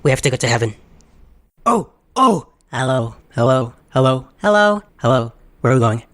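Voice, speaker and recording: A man voicing an excitable robot in a video game parody, babbling in a disjointed, repetitive way. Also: mid-range voice, moderate pace, no room sound, lightly acted. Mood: excited babbling. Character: excitable, babbling male robot sphere speaking nonsense.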